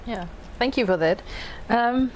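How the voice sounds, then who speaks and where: A woman's voice: on the high side, animated, a little slow, a little hiss or hum, poor audio. A woman moderating a student panel, asking questions and thanking speakers. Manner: friendly, prompting questions, brief thanks.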